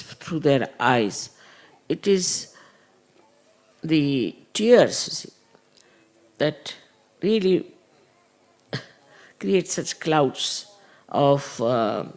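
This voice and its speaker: A middle-aged man gives an unhurried spiritual talk to followers, explaining with many "uh" pauses. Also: on the high side. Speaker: spiritual teacher.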